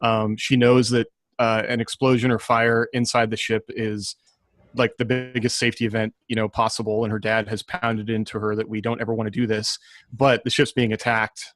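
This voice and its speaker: A man's voice: on the low side, little pitch movement, a bit bright, no room sound. Reflective man talking through his thoughts, dry sound. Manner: reflective, halting, thinking aloud. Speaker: author and podcast panelist. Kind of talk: casual panel discussion of fiction.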